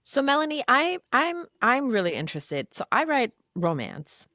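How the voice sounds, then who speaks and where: A woman's voice: sits high, very animated, normal speed, pushed and bright, no echo, clean studio sound. A woman hosting a podcast, an enthusiastic romance writer who restarts her questions a lot. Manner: enthusiastic, trails off mid-question. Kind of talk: casual podcast chat.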